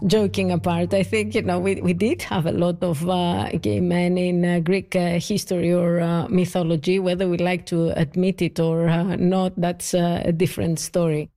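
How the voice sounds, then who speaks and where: A woman's voice: fairly low pitch, level tone, normal speed, few pauses, dark tone. A woman giving a casual interview answer, hesitant and full of fillers.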